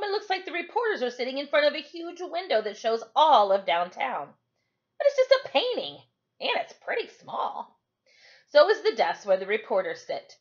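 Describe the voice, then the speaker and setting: Woman, expressive storytime read-aloud, swooping intonation, mid pitch, dull lo-fi recording. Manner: animated, emphatic storytelling with acted dialogue. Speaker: teacher reading a novel aloud.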